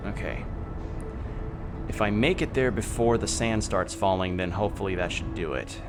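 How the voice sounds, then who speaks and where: A man streaming a game, thinking aloud in a relaxed, soft-spoken way and humming as he works things out. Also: on the low side, moderate pace, over music. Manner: thinking aloud, musing with hums. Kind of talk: casual gameplay commentary.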